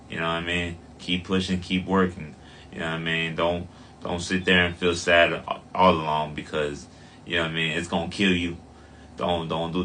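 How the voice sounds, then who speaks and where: Deep flat-voiced young boxer. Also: male voice. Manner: laid-back, repeats "you know what I'm saying". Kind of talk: casual interview answers. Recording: faint ambient noise.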